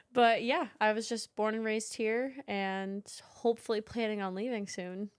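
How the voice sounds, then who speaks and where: A woman in her twenties from Troy, New York, chatting casually as an interview guest, full of "like" and quick laughs. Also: medium pitch, fairly even intonation, smooth, no echo, clean studio sound. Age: 20s. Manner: chatty, heavy use of "like", laughs often. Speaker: guest, fitness founder.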